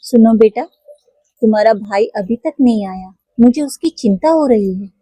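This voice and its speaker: A woman's voice: on the high side, expressive, normal speed, dark tone, breathy edge, no room sound, soft background music, low-quality recording. A woman voicing an anxious, caring mother cow in a children's toy cartoon story. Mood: anxious, worried.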